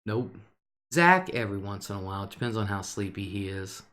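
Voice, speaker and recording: An older woman with a deep alto voice, casually chatting on her live stream and reading out viewer comments, laughing often between remarks. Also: lively intonation, brisk, fairly bright tone.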